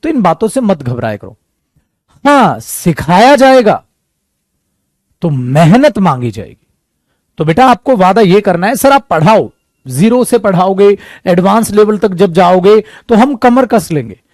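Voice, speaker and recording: A man teaching an English coaching class for competitive exams, talking to students as "beta" and "mere dost" and acting out their doubts in a lively way. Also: sits high, a little slow, dry recording, studio quality.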